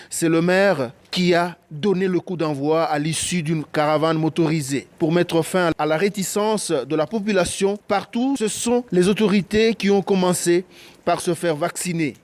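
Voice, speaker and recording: A man delivering a steady, factual news report. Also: sits high.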